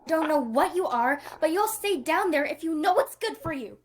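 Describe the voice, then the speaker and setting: Woman playing a high-pitched, feisty young girl. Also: expressive, normal speed. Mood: threatening. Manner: bossy, sharp-tongued. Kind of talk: animated series table read.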